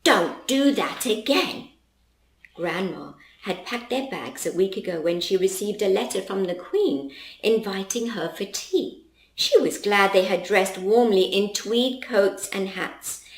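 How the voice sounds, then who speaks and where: A woman narrates a children's storybook at an unhurried pace. Her pitch moves widely and expressively, and the recording has a roomy echo. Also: a little mellow.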